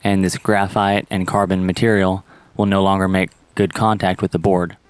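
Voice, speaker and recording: Low-voiced man giving repair instructions. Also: level tone, moderate pace, clean tone, no room sound. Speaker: how-to presenter. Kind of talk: step-by-step repair tutorial narration.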